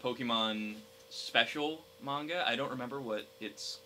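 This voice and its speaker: A young man co-hosting a casual gaming discussion, speaking in a hesitant, halting way. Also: medium pitch, pushed and bright, slight background noise, low-quality recording. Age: young adult. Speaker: podcast co-host.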